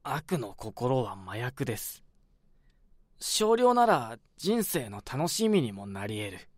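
A man playing a polite hospital doctor who speaks in a measured, philosophical way. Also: mid-range voice, very animated, no room sound, clean studio sound. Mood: calm. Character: calm philosophical male hospital doctor, middle-aged.

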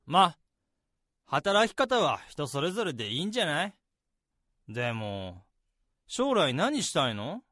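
A man's voice: a little high, sing-song, measured pace, long pauses, bright, forceful tone, dry recording, clean studio sound, exaggerated voice. A man playing an easygoing, sociable senior office worker in a radio drama, talking casually to his junior. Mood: easygoing, advising. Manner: casual, blunt masculine speech of a senior. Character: easygoing male senior salaryman, sociable, beer-loving, mildly wary. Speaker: radio drama actor and narrator. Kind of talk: radio drama dialogue and narration. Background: background music.

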